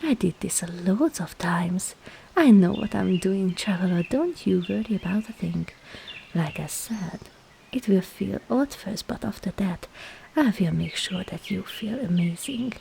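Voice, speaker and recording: Woman playing a soft, creaky, coaxing witch. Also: medium pitch, animated, measured pace, slight background noise, low-quality recording. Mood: soothing, sly. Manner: soft, breathy, often whispering, coaxing. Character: sly, coaxing female witch herbalist with potions. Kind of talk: ASMR roleplay.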